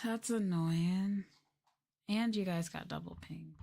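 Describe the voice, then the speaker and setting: A woman's voice: on the low side, slow pace, creaky, warm tone. A woman livestreamer chatting casually and informally to her viewers, rambling along with lots of "like". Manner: informal, rambling, uses "like" and "y'all". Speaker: VTuber livestreamer. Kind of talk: casual soft-spoken livestream chat to viewers.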